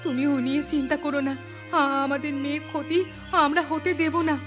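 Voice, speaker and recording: A woman's voice: sits high, level tone, moderate pace, music in the background, natural voice. A woman voicing an anxious, earnest village female in a horror cartoon. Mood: worried. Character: anxious village mother; also her troubled teenage daughter.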